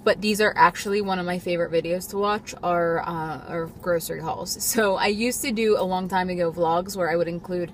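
A woman's voice: fairly low pitch, fairly even intonation, fairly quick, few pauses, slight background noise. Chatty young woman filming in her car. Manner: chatty, rambling, frequent ums. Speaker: vlogger. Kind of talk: casual grocery haul vlog. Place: parked car. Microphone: phone camera on the dashboard.

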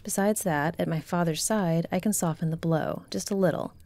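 Woman as a low, mellow, proud noblewoman commander. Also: moderate pace, no echo, close to an everyday voice. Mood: grave. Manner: formal, haughty. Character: proud, guarded noblewoman military commander, conscience-stricken. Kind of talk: fantasy audio roleplay acting.

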